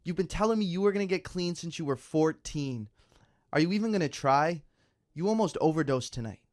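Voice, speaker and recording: Man playing a young male friend, fairly high voice, worried, urgent, pleading, exasperated, naturalistic radio drama. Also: expressive, fairly quick, darkish tone, natural voice.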